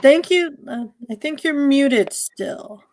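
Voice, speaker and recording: A woman's voice: high-pitched, lively intonation, slow. A woman moderating an informal community theatre Q&A on a video call, effusive and thankful in the way she talks. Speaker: host of a community theatre online talkback.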